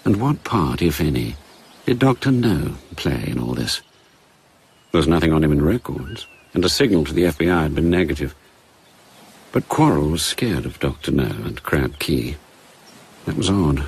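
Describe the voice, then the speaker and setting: An actor giving measured, grave scripted narration for a radio drama in a British accent. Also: male voice, a bass voice, lively intonation, darkish tone, breathy edge, full character voice. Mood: measured, pondering. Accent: British English.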